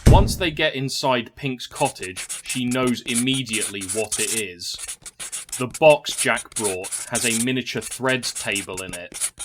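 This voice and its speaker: A man's voice: medium pitch, noisy background. A man narrating a scripted fantasy story in a dramatic storytelling manner.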